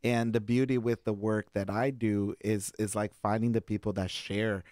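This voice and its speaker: Brazilian-accented young man, low voice. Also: little pitch movement, normal speed, a little mellow, dry recording, clean studio sound. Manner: laughs easily, rambling with restarts and fillers. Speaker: guest organizer. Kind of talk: casual interview chat.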